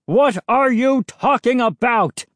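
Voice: mid-range voice, big pitch swings, takes it slowly, a little mellow, no echo, studio quality. A narrator voicing a big, blustering neighbour man in a storybook, menacing and indignant, bellowing and growling. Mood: indignant, enraged.

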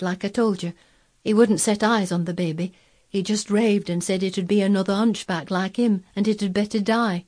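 A woman's voice: medium pitch, darkish tone, close to an everyday voice. A woman voicing a fretful, fearful young housemaid with a Yorkshire accent, exclaiming and gossipy, in a storybook reading. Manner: exclaiming, dialect-speaking, fretful.

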